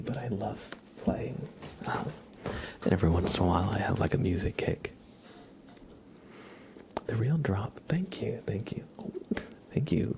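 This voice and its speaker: A young man talks casually to viewers in a soft ASMR style. His delivery is relaxed and halting, and his voice often drops to a whisper. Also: on the low side, vocal fry. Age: young adult. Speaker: ASMR livestreamer chatting with viewers.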